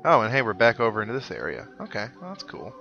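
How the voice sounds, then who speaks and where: A man's voice: mid-range voice, very animated, fast, sharp tone, highs cut off, faint music behind. A man hosting a video game playthrough, giving casual commentary with wry, sarcastic asides. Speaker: gamer host of a let's play. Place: indoor recording with game music behind.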